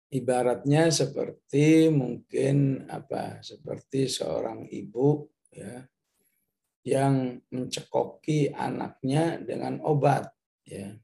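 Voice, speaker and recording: A man teaches scripture in a dark, mellow voice with a gravelly creak. His delivery is very slow and halting, like a patient lesson, broken by short confirming tags. He moves between explanation and Arabic recitation. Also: mid-range voice. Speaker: Islamic teacher, Quran commentator.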